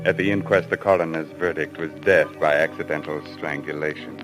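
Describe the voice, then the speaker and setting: A man's voice: very deep voice, fairly even intonation, a little fast. An actor playing a haunted, guilt-ridden man losing his mind to ape-madness, in a brooding, melodramatic old-time radio style. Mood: brooding dread. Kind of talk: old-time radio thriller acting, first-person narration. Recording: vintage radio recording with music.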